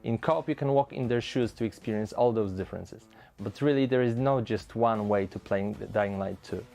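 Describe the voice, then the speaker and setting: A man's voice: lowish voice, moderate pace, vocal fry, mellow. A man speaking as a game developer, giving prepared answers in a promotional interview.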